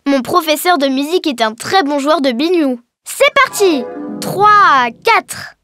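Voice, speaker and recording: Cartoon schoolgirl: high, cheerful, encouraging explainer, scripted lines over soft music. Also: animated, a little slow, exaggerated voice. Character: cheerful young Breton girl teaching her alien friend. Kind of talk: scripted educational cartoon dialogue. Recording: music behind the voice.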